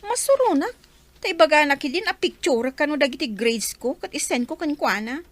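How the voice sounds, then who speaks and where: A proud, dreamy young woman in a radio drama. Her voice is high and very animated, with an emphatic, plaintive edge and an Ilocano accent. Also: fairly quick. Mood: pouting. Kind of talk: Filipino radio drama acting.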